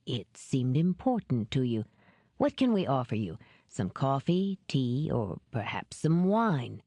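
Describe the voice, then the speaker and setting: A woman voicing a powerful older businessman in an audiobook, courteous, calculating and formal in a measured way. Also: extremely low pitch, very animated, mellow, no room sound, pitched below the performer's own voice, exaggerated voice.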